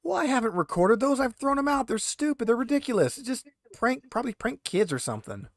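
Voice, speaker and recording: A man's voice: very high-pitched, sing-song, quick pace, dry recording, studio quality, voice pushed up, exaggerated voice. A man voicing a frazzled, exasperated female receptionist in a tabletop game, flustered and complaining. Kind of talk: tabletop roleplay character voice.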